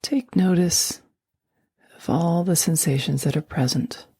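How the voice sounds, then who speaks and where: A woman guiding a sleep meditation, speaking slowly and softly, often in a near whisper. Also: fairly low pitch, slightly rough, no echo. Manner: slow, soft and soothing, often whispered. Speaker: meditation guide.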